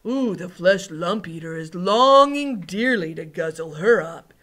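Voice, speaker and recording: A woman's voice: lowish voice, lively intonation, measured pace, fairly bright tone. A woman voicing a big, friendly but stubborn and gloomy male giant from a storybook, talking in mangled folksy grammar with invented words. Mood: matter-of-fact. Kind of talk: storybook character read aloud.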